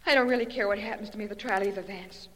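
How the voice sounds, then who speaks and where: A woman's voice: mid-range voice, racing, close to an everyday voice. An actress playing a jaded, resigned young woman held in jail, in a 1940s radio melodrama. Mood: downcast, resigned. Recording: reverberant, hissy 1940s radio recording.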